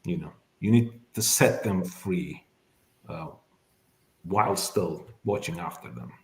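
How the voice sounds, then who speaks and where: A middle-aged man from Azerbaijan, whose first language is Azerbaijani, talks slowly through his memories, with lots of "um" and self-corrections. His voice sits fairly low. The sound carries a noticeable echo from the room. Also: expressive, gravelly, darkish tone. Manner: thoughtful, many fillers and restarts. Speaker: immigration lawyer, guest. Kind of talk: casual interview.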